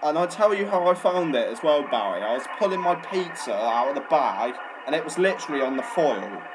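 A man making a prank phone call, deadpan and persistent, needling with repeated questions. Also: fairly high pitch, fairly quick, noisy background. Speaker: prank caller.

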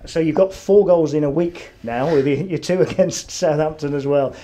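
A man's voice: a little high, normal speed, warm tone, slight background noise. A man interviewing a player after a match, asking conversational questions that end in tag questions. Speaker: club TV interviewer.

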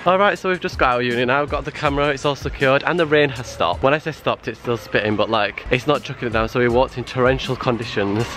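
A young British man talks in a casual, chatty vlog style at a middling pitch, with dry, self-deprecating humour. He speaks into a handheld camera at arm's length, with some music under his voice. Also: fast, hardly any pauses, a bit bright. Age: young adult. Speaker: vlogger. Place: mostly rooms at home, some street and garden.

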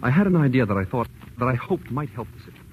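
An actor playing a frustrated, earnest husband of a rich wife, pleading and halting in 1940s radio style. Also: male voice, medium pitch, big pitch swings, narrow, tinny audio, lightly acted. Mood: troubled. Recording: faintly noisy old radio recording.

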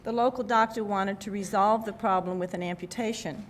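Older female keynote speaker, medium-pitched, reading a scripted address into a podium mic, slight rumble. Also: steady tone, fairly quick. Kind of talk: prepared speech read from notes. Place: event hall at a podium. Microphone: podium microphone. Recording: rumbly background noise, podium microphone.

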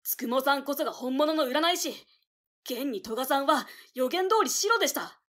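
Earnest, devoted boy for audio drama, voiced by a woman: high-pitched, polite, quick to accuse. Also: expressive, fairly bright tone. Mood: indignant. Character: earnest, hot-headed, devoted boy medium. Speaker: voice actor. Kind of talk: anime-style voice drama acting.

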